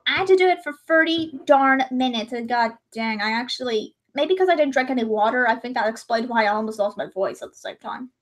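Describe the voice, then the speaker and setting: A woman's voice: on the high side, animated, a little fast, hardly any pauses. A young woman chatting casually while drawing on a livestream, rambling and correcting herself, her voice a little strained. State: voice gone high and strained.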